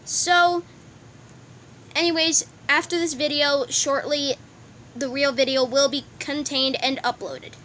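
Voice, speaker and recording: Female channel creator, casual intro talk, very high voice, unhurried, slightly noisy home mic. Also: fairly even intonation, bright, forceful tone. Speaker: comic dub channel creator. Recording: hissy home recording.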